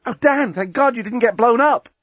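A man voicing a brisk, indignant young woman lawyer in a comic sci-fi audiobook, exclamatory, firm and urgent. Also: sits high, very animated, a bit bright, breathy edge, dry recording, dull audio, voice pushed up, exaggerated voice. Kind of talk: comic science fiction audiobook.

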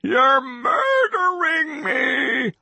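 A man's voice: very high-pitched, sing-song, drawn-out words, gravelly, bright, forceful tone, no room sound, voice pushed up, heavily acted. A man voicing a desperate trapped human man, pleading in a strained, raised voice.

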